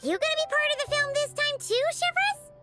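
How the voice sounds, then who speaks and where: Tiny childlike game fairy: very shrill and squeaky, bouncy melody, excitable and bossy. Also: female voice, a little fast, loud music behind the voice. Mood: excited. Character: tiny chirpy childlike female fairy companion, excitable, scolding. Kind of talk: video game voice acting.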